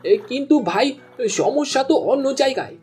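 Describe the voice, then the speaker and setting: A woman's voice: high-pitched, animated, fairly quick, darkish tone, husky, soft background music, exaggerated voice. A woman voicing a friendly young village fisherman in a familiar, colloquial cartoon style. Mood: doubtful. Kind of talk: cartoon dialogue.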